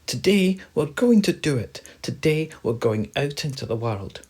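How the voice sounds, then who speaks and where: A man voicing a small, friendly storybook mouse who is eager but easily frightened, with an excitable manner that turns breathy with worry. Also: mid-range voice, moderate pace, pitched above the performer's own voice.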